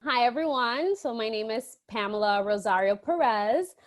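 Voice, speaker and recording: A woman from the Dominican Republic with a slightly high voice talks as a podcast guest. She tells stories in a casual, conversational way. Her speech is informal and often slips into quoted dialogue. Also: animated, moderate pace. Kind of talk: casual interview storytelling.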